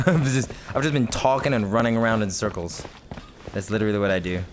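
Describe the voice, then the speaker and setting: A man's voice: on the low side, fairly quick, a little hiss or hum. A man from Britain giving casual, rambling let's-play commentary as he plays, chatty, self-mocking and often laughing. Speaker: gaming video host.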